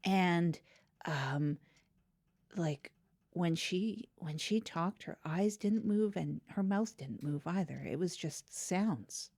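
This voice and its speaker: A woman playing an awkward, spooked male host on an improvised comedy podcast, hesitant and deadpan. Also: lowish voice, takes it slowly. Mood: uneasy, deadpan.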